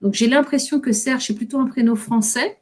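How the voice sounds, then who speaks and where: A woman's voice: high voice, fairly quick, a little mellow. A woman moderating an online discussion workshop, politely inviting participants to speak. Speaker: workshop host.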